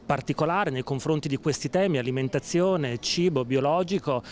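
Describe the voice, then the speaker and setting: A man's voice: fairly high pitch, moderate pace, a little mellow. A man in his thirties interviewing a guest on camera outdoors with a handheld reporter microphone. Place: outdoor courtyard.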